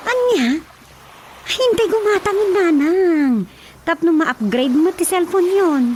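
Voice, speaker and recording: A woman's voice: extremely high pitch, animated, slow pace, darkish tone, slight background noise, full character voice. An actress with a Filipino Ilocano accent playing an eager, helpful young daughter pleading with her parents in a radio comedy drama. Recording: light music behind the voice.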